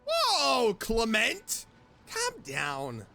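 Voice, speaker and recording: A woman streaming a video game with casual, exclamatory reactions. Her high voice swings about in a playful way. Game music is clearly heard behind her. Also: wildly swooping pitch, drawn-out words. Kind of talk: casual, reactive gameplay commentary.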